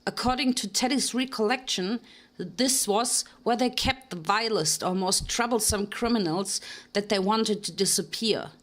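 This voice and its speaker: A woman narrating a fan fiction story in a dramatic reading, with steady storytelling delivery. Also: mid-range voice, a little slow. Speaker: reader.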